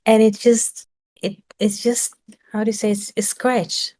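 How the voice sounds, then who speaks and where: A woman's voice: mid-range voice, flat delivery, unhurried, darkish tone. Woman giving a searching spiritual talk. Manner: searching for words, rhetorical questions. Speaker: spiritual teacher.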